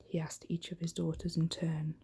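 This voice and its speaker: A woman giving a soft-spoken, gentle, hushed ASMR reading of a bedtime story in a breathy, whispery voice. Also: sits low, completely flat delivery, moderate pace.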